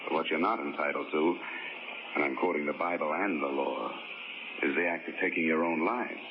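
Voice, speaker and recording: An actor playing a wry, hard-boiled insurance investigator who narrates and wisecracks dryly, in 1940s radio acting style. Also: male voice, rumbling low, moderate pace, noisy background. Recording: dull old radio broadcast with music.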